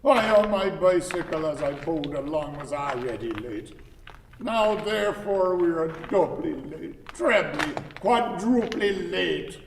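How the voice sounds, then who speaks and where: A man acting a fussy, grumbling old gentleman in a radio play, formally polite and theatrical. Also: a little high, animated, takes it slowly, creaky, full character voice. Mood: exasperated. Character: fussy, grumbling old gentleman cyclist, courteous but doleful. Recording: distant, echoey hall with noise.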